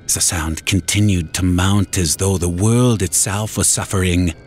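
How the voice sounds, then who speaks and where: A man's voice: low voice, steady tone, a little slow, slight background noise. A man narrating a first-person horror story in a dramatic, scripted storytelling style. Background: music.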